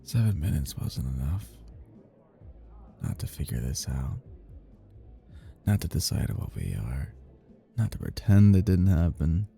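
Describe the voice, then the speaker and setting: A man's voice: sits low, sing-song, a little slow, vocal fry, pauses often, mellow, faint music behind, exaggerated voice. A man playing a cocky, flirty best friend in an intimate romantic ASMR roleplay, murmuring teasingly and slipping into whispers. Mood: earnest, yearning.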